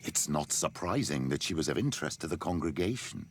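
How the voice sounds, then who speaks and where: An actor playing a concerned male companion in a video game, with a gravelly voice. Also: a bass voice, lively intonation, fairly quick, a little hiss or hum. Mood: sombre. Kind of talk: video game cutscene acting.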